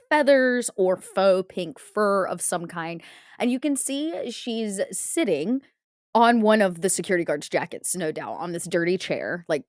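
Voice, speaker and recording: A woman's voice: fairly low pitch, no echo, clean studio sound. A woman playing a tabletop game, casually improvising a description of her character.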